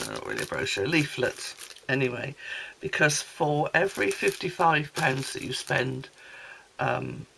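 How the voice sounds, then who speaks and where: A woman with a hoarse, gravelly voice from a sore throat, chatting in a rambling way as she shows off a craft haul. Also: low-pitched, fairly bright tone, a little hiss or hum, low-quality recording. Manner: chatty, rambling, many ums and asides. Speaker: craft vlogger. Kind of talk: casual product haul chat.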